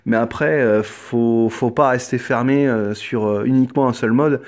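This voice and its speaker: A man's voice: mid-range voice, fairly even intonation, normal speed, darkish tone. A man chatting casually as an amateur radio operator, earnest and hesitant, often repeating himself as he goes.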